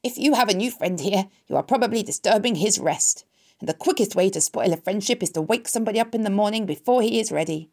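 A woman's voice: mid-range voice, expressive, quick pace, a little mellow. A woman voicing a disgusted old sheep in a storybook, stern and lecturing. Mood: scolding.

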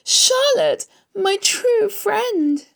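A woman plays a young male pig in a storybook, very high and loud, swooping with emotion. He pleads and wails, frantic and dramatic. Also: animated, drawn-out words, gravelly, heavily acted. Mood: anguished. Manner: pleading, wailing, dramatic outbursts.

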